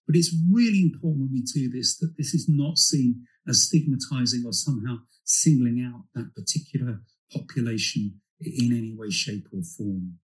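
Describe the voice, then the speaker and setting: A man's voice: mid-range voice, moderate pace, few pauses, low-quality recording. A man, a clinician, giving an earnest and emphatic medical presentation with occasional fillers.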